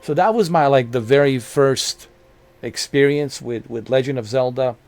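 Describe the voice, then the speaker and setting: A man in his mid-forties talks casually to his channel's viewers, circling back and repeating himself, with plenty of "um" and "you know." His voice sits at an ordinary middle pitch. Soft music plays quietly behind him. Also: moderate pace, no echo. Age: 40s. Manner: rambling, repeats phrases, many fillers. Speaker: gaming channel host. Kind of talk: casual talk to camera.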